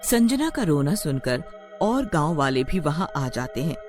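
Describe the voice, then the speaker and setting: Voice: medium pitch, very animated, a little slow. A narrator reading a scripted horror story in the manner of a storyteller. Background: background music.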